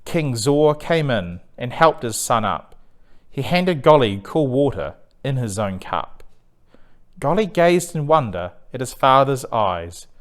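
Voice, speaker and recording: A male storyteller reads rhyming bedtime verse with an expressive, theatrical delivery. His pitch moves up and down in a lively way, and he takes his time over the lines at an unhurried pace. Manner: animated, deliberate, theatrical read-aloud delivery. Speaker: storyteller reading a picture book.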